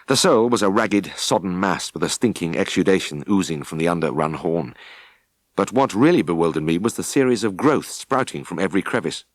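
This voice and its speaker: A British man narrating a first-person story for an audiobook with expressive, scripted delivery. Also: low voice, brisk, no echo.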